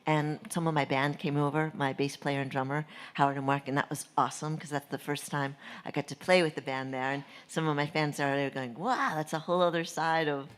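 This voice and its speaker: A woman's voice: sits low, steady tone, fairly quick, hardly any pauses. A middle-aged woman, a touring singer-songwriter, chatting casually and laughing often as a radio guest. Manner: warm, chatty, laughs a lot.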